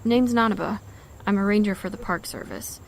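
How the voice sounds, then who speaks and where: A woman's voice: mid-range voice, a little fast, gravelly, natural voice. A woman playing a wry, self-assured park ranger in a zombie apocalypse fan audio drama, dry and confident.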